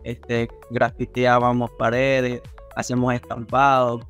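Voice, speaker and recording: A young man from Ecuador with a coastal Ecuadorian accent, telling his story casually and haltingly as an interview guest on a video call. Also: mid-range voice, monotone, a bit bright, dull audio, faint music behind. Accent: Ecuadorian coastal Spanish. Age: young adult. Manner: halting, repeats words as he goes. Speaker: podcast guest, rapper. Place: small indoor studio room on a video call.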